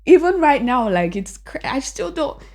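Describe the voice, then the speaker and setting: A young woman, a spoken word poet chatting as a guest in a podcast interview, animated and full of "you know" and "like". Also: sits high, normal speed. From Nigeria. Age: young adult. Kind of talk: casual interview chat. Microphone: studio dynamic mic.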